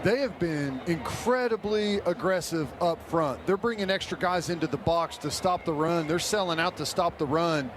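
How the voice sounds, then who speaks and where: A man's voice: fairly high pitch, normal speed, hardly any pauses. An American man giving conversational football analysis as a radio color commentator in a stadium booth. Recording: stadium crowd and background noise.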